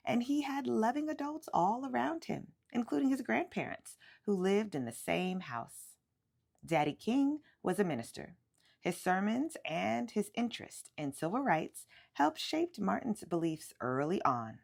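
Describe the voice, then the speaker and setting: Lady doing a kids book read aloud, super expressive pitch swings, bit of creak in her voice. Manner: animated, emphatic storytelling with drawn-out words. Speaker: children's book reader.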